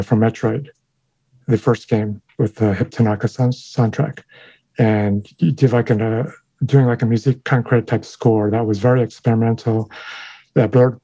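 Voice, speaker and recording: Halting, thoughtful man, dull dry sound. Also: on the low side, dark tone, no room sound. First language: Chinese. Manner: thoughtful, halting, many fillers and restarts. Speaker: guest sound designer. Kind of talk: interview conversation.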